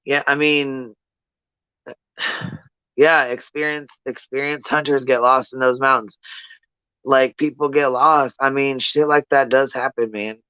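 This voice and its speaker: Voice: medium pitch, steady tone, takes it slowly, pauses often, pushed and bright, clear, resonant tone, no room sound, lo-fi sound. A livestream host giving casual, blunt commentary to viewers in slangy, profanity-laced speech, often repeating points for emphasis.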